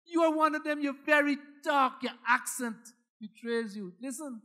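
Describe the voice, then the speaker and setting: Guyanese guy preaching, really high voice, bright and punchy, dramatic, repeats stuff for emphasis. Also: normal speed. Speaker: pastor. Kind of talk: sermon.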